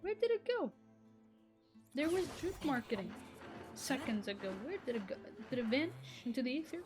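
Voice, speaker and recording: Exasperated ranting woman, higher voice. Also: big pitch swings, a little fast, over music. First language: Arabic. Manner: rambling, exasperated, keeps breaking off mid-sentence. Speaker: gaming vlogger. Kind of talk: casual rant to camera.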